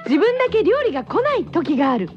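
High, animated woman co-hosting radio. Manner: teasing, quick to laugh. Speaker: radio show co-host. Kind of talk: lively radio variety show banter. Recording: muffled radio recording over music.

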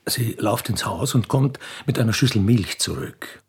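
A man with a husky voice, reading scripted stage directions as the narrator of a radio play. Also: lowish voice, moderate pace.

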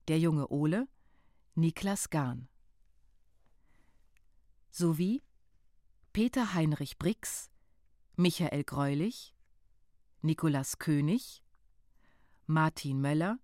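Very slow, low-voiced woman announcer. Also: mellow, no room sound, clean studio sound. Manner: measured, precise, neutral. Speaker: radio announcer. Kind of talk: scripted announcement reading.

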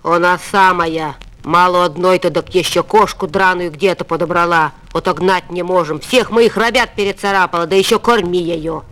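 A man's voice: high voice, steady tone, normal speed, hardly any pauses, sharp tone. A man voicing blunt, gossipy village folk in a storybook tale, with a folksy, complaining manner. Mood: grumbling. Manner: folksy, matter-of-fact, complaining. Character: gossipy, blunt village neighbours and grumbling housewife. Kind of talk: storybook fairy tale reading.

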